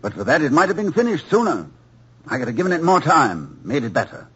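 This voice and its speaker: An actor playing a gentle, wry, middle-aged college president and devoted husband in old-time radio drama, articulate but hesitant. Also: male voice, a little high, wildly swooping pitch, fast, breathy edge, heavily acted. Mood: bitter disappointment. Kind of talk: 1950s American radio comedy-drama acting. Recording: dull old radio broadcast recording.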